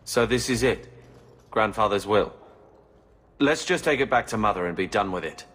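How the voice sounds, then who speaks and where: A man's voice: fairly low pitch, lively intonation, normal speed, a bit bright, a little hiss or hum. A man playing a bitter, sarcastic young man, an aggrieved younger brother in a video game. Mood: curt. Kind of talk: dramatic video game acting. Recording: long reverb with background music.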